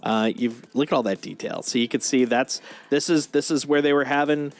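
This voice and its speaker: A man's voice: medium pitch, fairly even intonation, fairly quick. A man chatting casually as a livestream host, showing things off in an enthusiastic, geeky, rambling way. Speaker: streamer, host. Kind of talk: casual livestream chat, showing off collectible toys. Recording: music playing behind the voice. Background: music.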